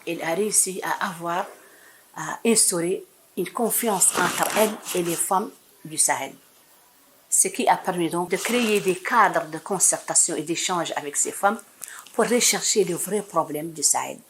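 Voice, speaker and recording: A woman's voice: lowish voice, moderate pace, a little hiss or hum, rough recording. A woman giving a spoken testimonial about a colleague in an interview.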